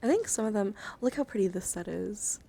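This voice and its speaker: Over clear ambient noise, a woman streams a casual ASMR chat to her viewers. Her voice sits at an average pitch. She talks in a halting, rambling way, as if thinking aloud. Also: expressive. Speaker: livestreamer showing a dice collection.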